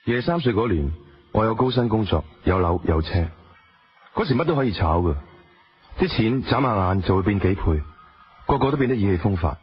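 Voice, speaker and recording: An actor with a Hong Kong accent playing a weary, wounded estranged husband who drives a taxi, in a radio drama. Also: male voice, fairly low pitch, very animated, a little fast, husky, soft background music, exaggerated voice. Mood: reflective, rueful. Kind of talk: radio drama acting, with dialogue and reflective narration. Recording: dull lo-fi radio drama audio.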